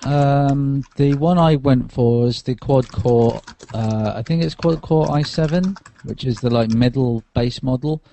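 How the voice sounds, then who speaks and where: A man's voice: medium pitch, a little slow, vocal fry, hardly any pauses, warm tone, a little hiss or hum. A tired man, a podcast co-host, chatting casually about tech while lying on a sofa.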